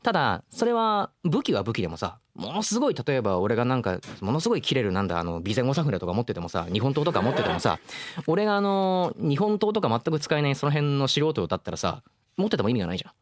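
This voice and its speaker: A man's voice: medium pitch, very animated, fast, no echo. A man hosting a radio show, giving earnest, frank advice in casual, slangy chat. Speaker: radio show host, voice actor.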